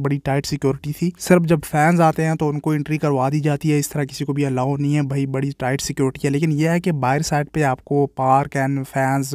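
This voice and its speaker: A man's voice: fairly high pitch, a little mellow, no echo, studio quality. Chatty man. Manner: chatty, opinionated, keeps saying "bhai". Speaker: cricket vlogger. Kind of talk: casual walking vlog commentary. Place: outdoors, walking along streets and parks.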